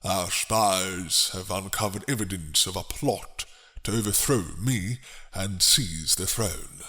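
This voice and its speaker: A man voicing a grave, suspicious king in a dramatic roleplay, stately, formal and deliberate. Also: deep voice, sharp tone, voice pushed down, full character voice. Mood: grave, commanding.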